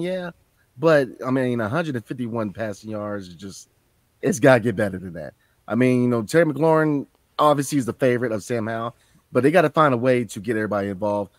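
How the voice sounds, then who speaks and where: A man speaks at a mid pitch in a loose, conversational way, breaking up his football opinions with filler phrases. He sounds close to a dry mic with no room sound. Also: no room sound. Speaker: podcast co-host. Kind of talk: casual sports chat.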